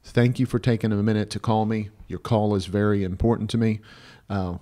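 A man's voice: on the low side, fairly even intonation, brisk, dark tone. A man in his fifties co-hosting an advice podcast, talking bluntly and emphatically, repeating phrases and checking in with "right?". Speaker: podcast co-host. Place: small indoor podcast studio. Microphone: close podcast mic on stand.

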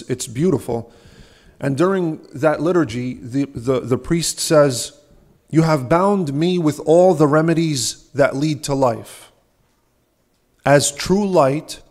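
A young man, a subdeacon, giving an earnest, measured sermon at a church lectern, asking rhetorical questions. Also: medium pitch, a little mellow. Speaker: subdeacon preaching. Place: large ornate church, at a lectern. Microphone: lectern microphone.

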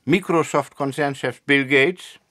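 An actor playing an earnest, matter-of-fact head of a computer rage-therapy centre, explaining himself in folksy officialese in a deadpan radio comedy interview. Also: male voice, mid-range voice, unhurried. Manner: hesitant openers, folksy officialese with tag questions.

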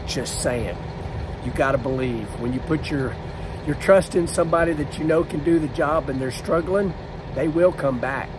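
A man, vlogging on his phone with a hoarse, worn-out voice from screaming, excitable and laughing. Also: mid-range voice, background noise. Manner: excitable, laughing, lets out loud woos. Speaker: drag racing driver and vlogger. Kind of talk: casual vlog to camera. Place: inside a trailer or motorhome. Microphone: phone camera at arm's length.

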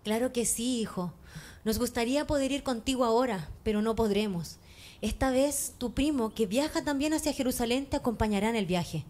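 A woman's voice: a little high, brisk, darkish tone, natural voice. A woman playing an affectionate, wistful mother of a young man in a radio drama. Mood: tender. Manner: tender, pleading.

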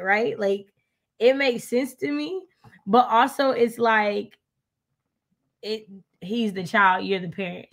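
A young American woman with a fairly high voice talks casually about books. She is emphatic and opinionated, hammering a point by repeating it. It sounds like a video call from home. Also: fairly bright tone. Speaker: readalong co-host. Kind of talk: casual book discussion.

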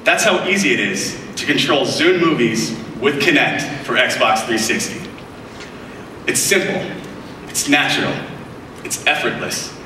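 A man's voice: mid-range voice, background noise. Young male presenter, upbeat scripted demo, heard from far off in a big echoing hall. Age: young adult. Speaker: product demonstrator. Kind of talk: scripted live product demo. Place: large keynote stage in front of a screen. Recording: echoey hall audio picked up from afar.

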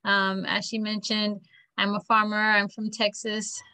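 Woman in her thirties, farmer, bright clear tone, dry close sound, laptop video call, steady plain explaining. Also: mid-range voice, unhurried, dry recording. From Florida, US. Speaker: farmer and technical assistant. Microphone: laptop webcam on a video call.

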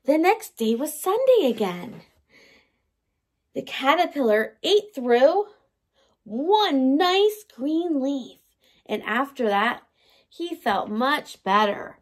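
A woman in her thirties, a teacher reading a picture book aloud to young children in an animated way, with questions for them. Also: high-pitched, slow pace, pauses often. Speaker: early learning teacher. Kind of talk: children's story time read-aloud. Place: indoors by a large window in a room at home.

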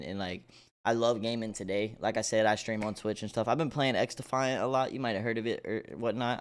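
A man's voice: medium pitch, clean tone. A man hosting a reaction video, commenting casually and enthusiastically in rambling, slangy speech. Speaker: reaction video host and streamer. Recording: game music playing behind the voice. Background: video game music.

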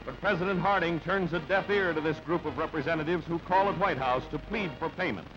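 Dull-sounding vintage newsreel man, high-pitched. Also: a little fast. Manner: clipped, punchy, dramatic delivery. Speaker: newsreel narrator. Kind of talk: scripted newsreel narration. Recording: dull band-limited old newsreel soundtrack. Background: music.

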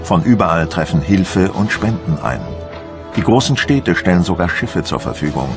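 A man's voice: low voice, expressive, moderate pace. An actor playing a Frenchman of Napoleon's time, narrating a scripted historical documentary. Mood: measured, earnest. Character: Frenchman of Napoleon's time recounting invasion preparations. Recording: music behind the voice.

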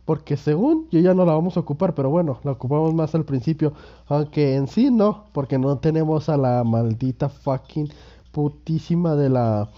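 A young Mexican man with a fairly high voice talks through a game while sick with a cold, loose and rambling, full of crude slang and jokes at his own expense. The sound is dull and lo-fi. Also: mellow. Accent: Mexican Spanish. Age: 20s. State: sick with a cold and sore throat. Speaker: gaming youtuber. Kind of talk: casual gameplay commentary.

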